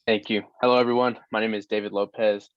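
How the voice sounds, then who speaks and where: A man's voice: on the low side, level tone, fast talker, clean tone, no room sound. A young man in his twenties eagerly introducing himself on a video panel, leaning heavily on "you know". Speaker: recent graduate, startup founder. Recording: dull, dry, muffled webcam audio.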